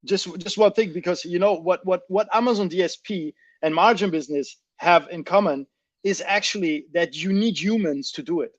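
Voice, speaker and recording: A man with a high voice, recorded dry and close with a dull, lo-fi tone. He talks through business points emphatically, circling back and rephrasing as he goes. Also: moderate pace, dry recording. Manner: emphatic, repeats and rephrases himself. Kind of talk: explanatory business discussion.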